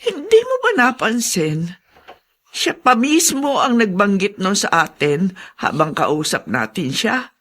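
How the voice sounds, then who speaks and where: An anxious wife in a radio drama. She speaks slowly and hesitantly, and her pitch moves a lot as she worries aloud. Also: very animated, a bit bright.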